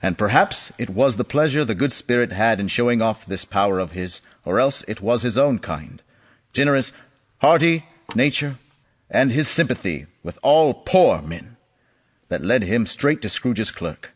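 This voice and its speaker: A man's voice: medium pitch, expressive, a little slow. A man narrating a classic story expressively in an audio play, on a dull recording with music behind.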